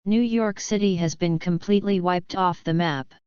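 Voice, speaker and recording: A woman's synthetic voice playing a bleak emergency management agent, giving a stark, measured disaster report over a dull phone line. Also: on the low side, a little mellow, clear, resonant tone, dry recording, close to an everyday voice. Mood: devastated, bleak. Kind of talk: scripted phone report in amateur disaster drama.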